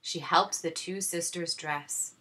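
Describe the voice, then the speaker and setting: A woman reading a children's story aloud in expressive narration. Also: fairly low pitch, slow pace, poor audio. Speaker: story reader.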